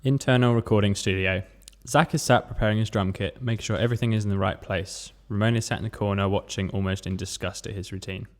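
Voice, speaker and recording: A man's voice: on the low side, fairly even intonation, fast talker, hardly any pauses. A man reading stage directions as scripted narration at a table read.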